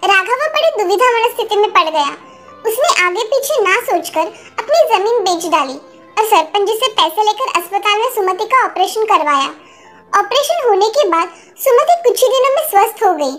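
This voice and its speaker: A woman's voice: very high-pitched, lively intonation, moderate pace, a bit bright. A woman reading a scripted moral story for children as narrator. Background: music.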